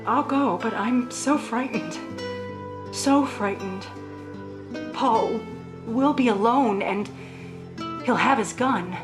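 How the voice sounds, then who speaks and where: A woman's voice: a little high, takes it slowly, pauses often, over music. An actress in a melodramatic radio play as an anxious, pleading wife who fears for her life. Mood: dread. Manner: fearful, pleading.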